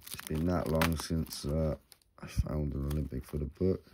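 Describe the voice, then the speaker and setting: A British man with a slightly croaky, sore-throat voice, giving casual, low-key hobby commentary as he goes along. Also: steady tone, normal speed. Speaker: host of a coin hunting channel. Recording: noisy home recording with crinkling bag sounds.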